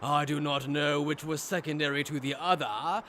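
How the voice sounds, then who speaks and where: A man's voice: a little high, fairly even intonation, normal speed, a bit bright, slight background noise, close to an everyday voice. A man voicing a smug, mocking aristocratic villain in an anime fan dub, with formal, taunting, theatrical delivery.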